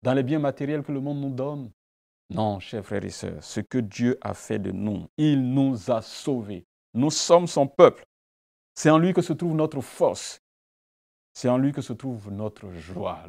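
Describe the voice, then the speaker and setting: Gravelly-voiced male pastor preaching. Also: lowish voice, expressive, slow pace, darkish tone. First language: a language other than French. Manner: repetitive, emphatic preaching with rhetorical questions. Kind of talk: devotional sermon and prayer.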